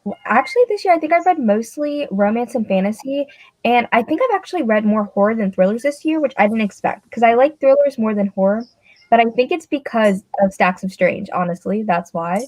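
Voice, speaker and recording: A woman's voice: on the high side, animated, a little fast, a little mellow, no room sound, low-quality recording. A young woman, a book vlogger, chatting casually in a rambling, self-deprecating way with many fillers. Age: young adult. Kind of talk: casual chat.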